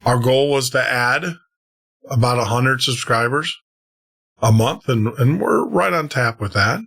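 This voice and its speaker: A man at mid pitch hosts a late-night radio podcast and wraps up the night in loose, chatty talk. He rambles upbeat, circling back to repeat words and restart phrases as he goes. His delivery stays casual and unscripted. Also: animated, measured pace, vocal fry, fairly bright tone, slightly rough. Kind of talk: casual on-air sign-off with announcements.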